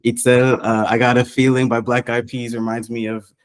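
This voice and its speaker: A man in his thirties, raised in Southern California, chatting in an upbeat, lively way and laughing often. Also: mid-range voice, moderate pace. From Costa Rica, raised in Southern California. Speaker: podcast guest and consultant. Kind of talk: casual chat.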